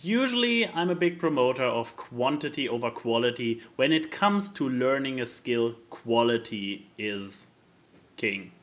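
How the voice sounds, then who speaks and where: A man's voice: mid-range voice, expressive, measured pace. A man chatting casually as a podcast co-host, emphatic and given to repeating words. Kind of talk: casual chat.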